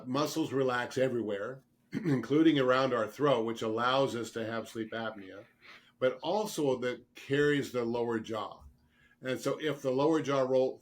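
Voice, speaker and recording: Man with a slightly rough, cold-worn voice. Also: mid-range voice. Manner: earnest, emphatic, repeats words for stress. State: getting over a cold, throat clearing and coughing. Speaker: guest expert, dentist specializing in dental sleep medicine. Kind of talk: explanatory interview conversation.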